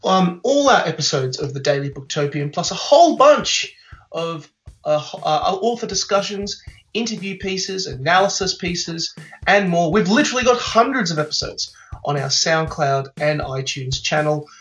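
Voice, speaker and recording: A man's voice: high-pitched, lively intonation, normal speed, a bit bright. A man hosting a podcast, upbeat and enthusiastic with frequent fillers. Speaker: podcast host. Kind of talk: casual podcast chat.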